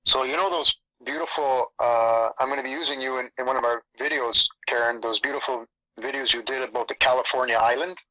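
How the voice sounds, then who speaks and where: A man's voice: mid-range voice, fairly even intonation, fairly quick, pushed and bright, no echo, low-quality recording. A man who grew up in Canada with Italian as his first language, rambling through a casual spiritual chat and repeating phrases. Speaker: spiritual channel host.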